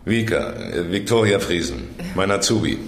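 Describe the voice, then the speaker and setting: An actor playing a brash, seasoned crime reporter in a radio drama, curt and wry. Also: male voice, low voice, very animated, normal speed, vocal fry, full character voice. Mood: probing, dry. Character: brash, seasoned male crime reporter mentoring his trainee. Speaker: newspaper reporter.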